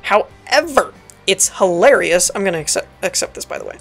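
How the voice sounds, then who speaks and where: A woman with a raspy, dry voice giving casual let's play commentary, reading game text aloud and correcting herself as she goes. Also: medium pitch, expressive, brisk, faint music behind. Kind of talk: casual gameplay tutorial commentary.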